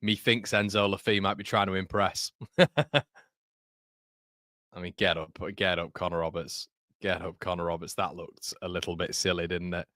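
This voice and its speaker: A man with a Yorkshire accent gives loose, chatty running commentary on a live football watchalong, breaking off to answer viewers in the chat and laugh at his own jokes. His voice sits fairly low, recorded close and dry with no room sound. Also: little pitch movement, normal speed, pauses often, sharp tone, no room sound.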